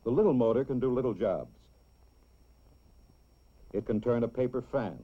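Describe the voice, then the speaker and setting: Male educational film narrator, dull lo-fi soundtrack. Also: mid-range voice. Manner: measured, clearly explanatory delivery for children.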